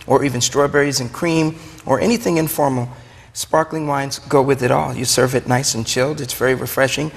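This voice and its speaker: A man's voice: medium pitch, steady tone, brisk, vocal fry. A man with a Brooklyn accent explaining things in a chatty, enthusiastic, conversational way as an interview guest. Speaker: wine shop owner, interview guest. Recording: long hollow room reverb.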